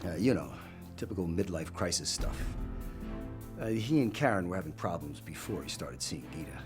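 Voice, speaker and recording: Film role: wry middle-aged agent under questioning, very low, measured, animated inflection, faint music. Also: male voice. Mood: wry, guarded. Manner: sardonic, measured. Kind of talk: film interview scene, naturalistic acting.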